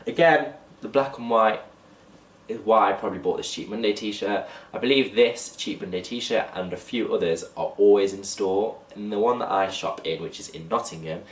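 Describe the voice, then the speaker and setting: A man's voice: lowish voice, normal speed, far from the mic. A young man with a slightly blocked, nasal voice, chatting casually to camera in a clothing haul vlog. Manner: chatty, talks to camera while holding up clothes. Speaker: fashion vlogger. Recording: echoey room, voice off-mic.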